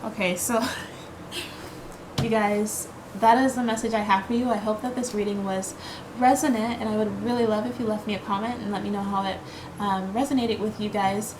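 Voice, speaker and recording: A woman's voice: mid-range voice, steady tone, normal speed, noisy background. Chatty woman giving a tarot reading. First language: English. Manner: chatty, reassuring, many fillers and "okay?" tags. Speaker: tarot card reader. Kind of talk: casual tarot reading to viewers.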